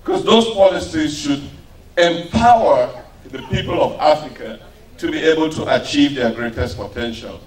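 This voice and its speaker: A man from Cameroon giving an earnest, emphatic public speech at an event. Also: on the high side. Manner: earnest, emphatic, slow rising phrases. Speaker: event speaker. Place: event hall. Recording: echoey hall, distant mic, faint noise.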